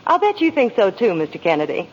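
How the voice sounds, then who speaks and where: Lively radio spokeswoman with a husky edge. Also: mid-range voice, quick pace. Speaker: commercial spokeswoman. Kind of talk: scripted 1940s radio ad dialogue. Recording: dull, echoey old radio recording.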